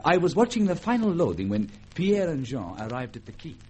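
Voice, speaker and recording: Animated French Creole aide. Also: male voice, fairly high pitch, slightly rough. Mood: earnest. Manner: polite, dramatic, halting storytelling. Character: deferential, gossipy French Creole government aide, nervous storyteller. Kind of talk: vintage radio drama acting.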